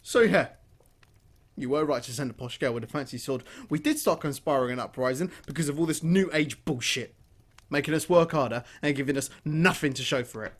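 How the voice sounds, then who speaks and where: An actor playing a grieving, vengeful miner and widowed father, bitter and seething, erupting into shouts in an audio drama. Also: a little high, fairly quick, close to an everyday voice. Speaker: mine worker.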